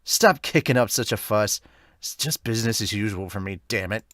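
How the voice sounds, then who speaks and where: A man voicing a gruff, cool-headed young baseball player in a manga dub, grumbling in a low-key way. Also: animated, moderate pace, a bit bright, dry recording, clean studio sound.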